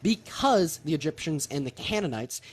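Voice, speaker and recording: A man's voice: a little high, lively intonation, normal speed, crowd noise. This is a man hosting an informal, heated debate. He presses his points insistently and goads his opponent as he goes, in a combative, conversational style. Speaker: debate host.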